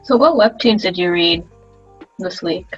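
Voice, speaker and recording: A woman's voice: on the low side, unhurried, lo-fi sound, faint music behind. A woman co-hosting a casual podcast chat, gushing and enthusiastic, leaning heavily on "like" as she talks. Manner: gushing, enthusiastic, heavy use of "like". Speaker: podcast co-host.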